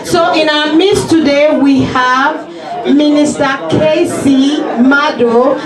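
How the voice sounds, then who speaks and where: Lady mc hyping up kids for a guest speaker, high voice, echoey hall. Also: steady tone, slow pace, a crowd in the background. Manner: rallying, prompts the audience to respond. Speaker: event host introducing a guest. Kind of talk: public announcement to children. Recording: echoey hall, distant mic, audience noise.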